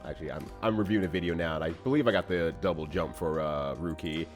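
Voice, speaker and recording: A man giving lively, jokey game commentary with drawn-out exclamations and casual chat. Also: deep voice, soft background music. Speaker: play-by-play commentator and player.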